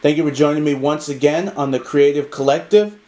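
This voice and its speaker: A man hosting an interview, asking his guest questions in a polite, measured way. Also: a little high, a little mellow. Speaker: interview host.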